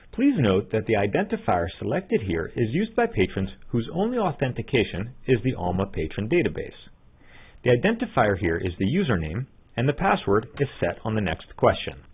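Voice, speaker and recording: A man giving scripted step-by-step tutorial narration as a software trainer, in an instructional manner. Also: on the low side, slightly rough. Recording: muffled narrow phone-like line.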